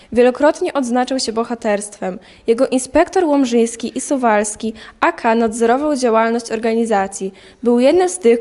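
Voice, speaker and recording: A woman's voice: on the high side, a little mellow. A young woman reading scripted historical narration for an audio drama.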